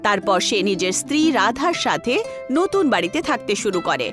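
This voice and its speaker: A woman's voice: mid-range voice, big pitch swings, brisk, over music. A woman narrating a scripted cartoon story.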